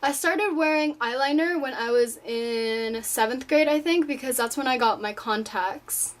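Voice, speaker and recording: An eighteen-year-old woman from Japan, her voice sitting a little high, chats through viewer questions from her bedroom. She talks loosely and rambles. She drops "like" into nearly every sentence. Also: unhurried. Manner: chatty, rambling, fills with "like" often. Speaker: vlogger answering viewer questions. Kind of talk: casual Q&A vlog. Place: her own room at home.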